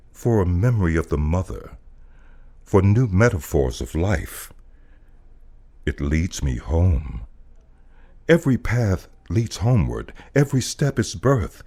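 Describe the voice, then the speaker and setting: Deep, slow-reading man. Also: warm tone. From United States. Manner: solemn, deliberate, theatrical emphasis. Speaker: voice-over artist. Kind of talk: dramatic poetic literary reading.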